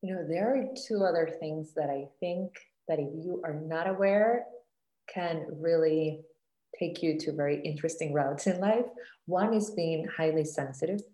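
Low-voiced woman in an echoey room. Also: normal speed, dark tone. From Costa Rica. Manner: reflective, frequent "let's say" and "like" fillers. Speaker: guest. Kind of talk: casual interview conversation.

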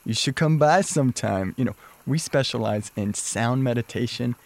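An actor playing an effusive, laid-back hippie dude in an audio drama, gushing in drawn-out bro talk. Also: male voice, medium pitch, close to an everyday voice. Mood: breezy, friendly.

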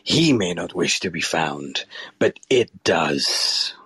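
Menacing villain lord, low gravelly voice, theatrical swoops, gloating, audio drama, music behind. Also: male voice, big pitch swings, bright, forceful tone, no room sound, heavily acted, poor audio. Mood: gloating, assured. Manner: slow, dramatic, drawn-out delivery.

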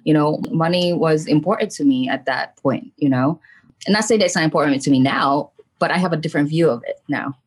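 Low-pitched middle-aged woman, candid storyteller. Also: brisk, breathy edge. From Philippines. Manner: candid, emphatic, often checks in with "right" and "you know". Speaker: podcast guest, trauma coach and spiritual medium. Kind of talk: casual personal storytelling in an interview.

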